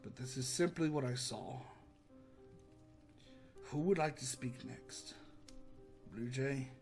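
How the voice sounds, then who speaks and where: A man voicing a courteous, grave gentleman leading an inquiry in an animated fan drama, formal and measured. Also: medium pitch, creaky, faint music behind. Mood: regretful.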